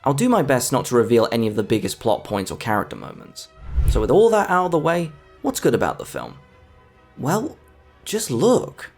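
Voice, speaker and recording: Animated man narrating a video essay. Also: mid-range voice, moderate pace. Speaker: video essayist, film reviewer. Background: background music.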